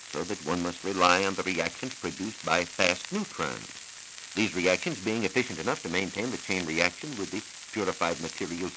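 An American man with a fairly low voice gives a formal, scripted science explanation in the manner of 1940s educational radio. His pitch moves animatedly as he teaches. The old transcription disc hisses and crackles clearly throughout. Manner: measured, didactic, formal. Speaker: science reporter on a radio programme. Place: radio studio.

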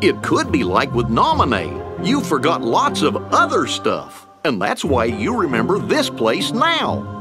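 An actor playing a concerned companion to a young hero in a video game cutscene. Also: male voice, a little high, wildly swooping pitch, measured pace, over music. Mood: concerned, reasoning.

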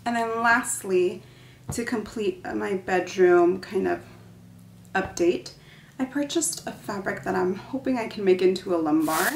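A young woman filming a casual home decor haul vlog at home, talking to the camera in a relaxed, chatty, enthusiastic way. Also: medium pitch, unhurried, echoey room, slight background noise. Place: living room at home, indoors.

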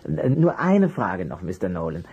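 A man's voice: sits low, big pitch swings, normal speed, a little mellow, husky, dull audio, exaggerated voice. A man playing a polite, easily flustered private detective in a German audio drama, courteous and hesitant. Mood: polite, nervous. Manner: courteous, hesitant, apologetic under pressure. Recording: noisy, clicky vinyl transfer.

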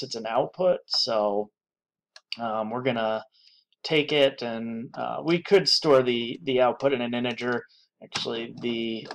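A man giving an unscripted screen-recorded software tutorial, thinking aloud with many pauses and restarts. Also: medium pitch, vocal fry.

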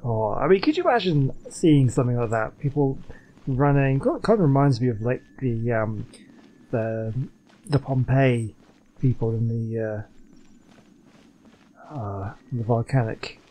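A man's voice: mid-range voice, animated, unhurried, pauses often, dark tone, a little hiss or hum, low-quality recording. A man giving casual playthrough commentary on a video game in a musing, conversational way. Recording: faint game ambience behind.

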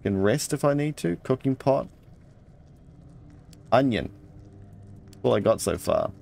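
A man's voice: mid-range voice, unhurried, vocal fry, darkish tone. A man giving casual let's play commentary, muttering his thoughts aloud and reading out item text.

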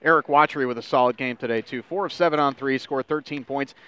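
A man's voice: medium pitch, fast talker, pushed and bright, clear, resonant tone. A young American man hosting a postgame sports interview, recapping stats and asking questions into a headset mic. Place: indoor interview table at a sports arena.

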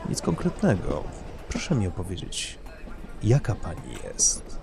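A courtly gentleman traveler acted by a man in an audio drama, low-pitched and measured. He is a formal, boastful charmer, heard over clear vehicle noise. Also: wildly swooping pitch, heavily acted. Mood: gallant, coaxing. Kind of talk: Polish audio drama dialogue.

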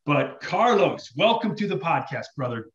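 Higher-pitched man, echoey room. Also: sing-song, moderate pace, a bit bright, dull audio. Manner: informal, slangy. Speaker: podcast host. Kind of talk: casual podcast introduction and questions. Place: indoor room with backdrop.

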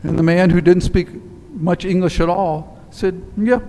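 A man in his sixties preaching a sermon, telling a story and dropping to a quiet, slow emphasis on key words, standing in a church sanctuary on a headset mic. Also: sits high, fairly even intonation, slight background noise. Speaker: priest. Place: church sanctuary in front of altar. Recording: long church reverb with faint ambience.

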